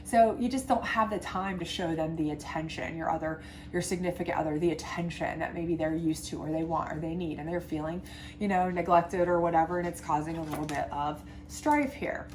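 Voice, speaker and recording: Congested lady doing a tarot love reading, chatty, lots of um and you know, slightly low voice. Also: fairly even intonation, a little fast, a little mellow, a little hiss or hum. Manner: chatty, reassuring, many fillers and asides. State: congested from a sinus infection. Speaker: tarot reader. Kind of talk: casual tarot reading to camera.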